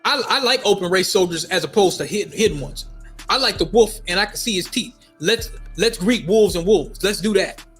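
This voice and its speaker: A middle-aged man from Minnesota telling a personal story in a casual, animated way, repeating phrases for emphasis. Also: sits high, moderate pace, faint music behind. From United States, Minnesota. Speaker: storyteller vlogger.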